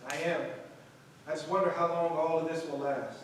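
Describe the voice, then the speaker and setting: An actor playing an earnest acting teacher who mentors young performers in a staged play reading. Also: male voice, a little high, steady tone, moderate pace, natural voice. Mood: subdued, sincere. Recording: echoey hall, distant mic, faint noise.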